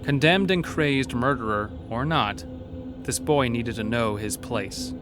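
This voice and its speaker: A man's voice: medium pitch, measured pace, music in the background. A man narrating a scripted horror story in a dramatic storytelling style.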